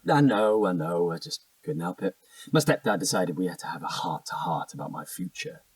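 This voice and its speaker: A man's voice: low voice, very animated, a little fast, gravelly, husky, dry recording, far from the mic, exaggerated voice, rough recording. An actor playing an evasive, defensive young British man in a naturalistic audio drama, hesitant and slangy. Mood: sheepish, awkward.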